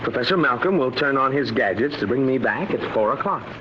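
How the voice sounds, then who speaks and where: An actor playing a bewildered young American academic who stammers in disbelief, in 1950s radio drama style. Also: male voice, mid-range voice, lively intonation, a little fast, close to an everyday voice. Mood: eager. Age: 20s. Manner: stammering, incredulous. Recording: noisy muffled old radio recording.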